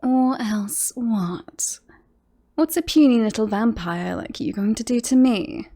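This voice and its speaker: Woman acting a slow-talking, smug female werewolf. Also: on the high side, mellow. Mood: smug, threatening. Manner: teasing, mocking, drawn-out coaxing delivery. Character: smug, possessive female werewolf, lovestruck with a human. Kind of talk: ASMR roleplay.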